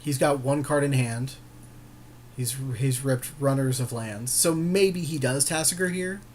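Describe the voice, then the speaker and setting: A man's voice: medium pitch, a little slow, slight background noise. A man chatting informally as he co-commentates a card game he is playing. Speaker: podcast co-host and player. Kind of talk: casual co-commentary on a card game being played.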